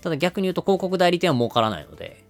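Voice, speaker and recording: A man's voice: sits high, sing-song, rapid-fire, faint music behind. A man co-hosting a podcast, explaining business matters conversationally in long, connected runs. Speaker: podcast co-host explaining marketing.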